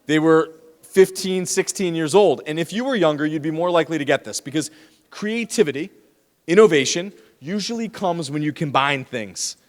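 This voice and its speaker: A man with a slightly raised pitch lectures students, teasing them and addressing the room directly. His voice comes through a handheld microphone in a big lecture hall. A long reverb trails each phrase. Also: normal speed. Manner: lively, teasing, talks straight to the audience.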